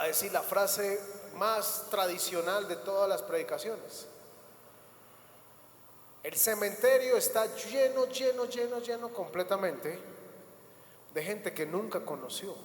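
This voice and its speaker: A man preaching with a high, ringing voice that keeps surging up in emphatic repeated phrases. His sermon rolls through a church hall with a long echo and a faint room hum behind it. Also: slow pace, pauses often. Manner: emphatic preaching with repetitions and rising bursts. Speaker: pastor.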